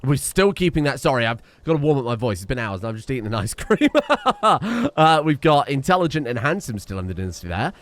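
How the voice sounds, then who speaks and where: Excitable man reacting to a game. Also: medium pitch, brisk, no room sound. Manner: excitable, exclaiming, rambling with restarts. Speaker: gaming streamer. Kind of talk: casual let's-play commentary.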